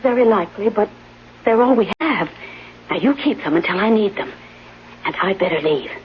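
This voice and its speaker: An actress playing a protective, reassuring nurse in an old-time radio drama, on a muffled recording. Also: female voice, medium pitch, lively intonation, normal speed, a little hiss or hum, close to an everyday voice. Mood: protective, urgent.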